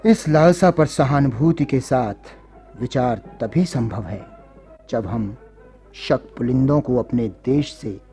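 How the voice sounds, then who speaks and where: Slow, gravelly noble statesman. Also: mid-range voice, dark tone, soft background music. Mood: reassuring, earnest. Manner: measured, solemn, declamatory. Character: grave, resolute noble statesman uniting ancient republics. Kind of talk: Hindi radio drama acting.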